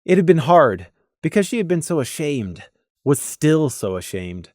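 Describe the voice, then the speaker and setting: Expressive story narrator with a dry, close sound. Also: medium pitch, moderate pace, no room sound. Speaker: audiobook narrator.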